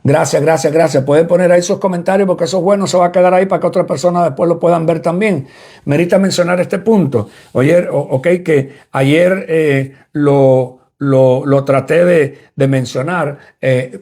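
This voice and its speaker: Husky man preaching a sermon. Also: a little high, a little mellow. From Cuba. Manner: repetitive, explanatory preaching that addresses listeners directly. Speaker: apostle preaching.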